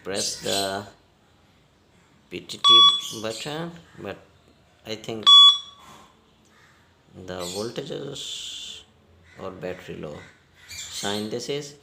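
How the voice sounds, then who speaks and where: A man giving a hands-on repair demonstration in halting, non-native English, step by step. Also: lowish voice, wildly swooping pitch, vocal fry, echoey room, very noisy. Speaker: repair technician giving a tutorial.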